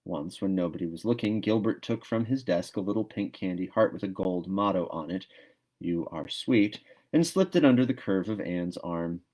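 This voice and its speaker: Low-voiced man reading a storybook. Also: expressive, a little fast, few pauses, a little mellow. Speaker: storybook reader. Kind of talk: expressive audiobook-style narration.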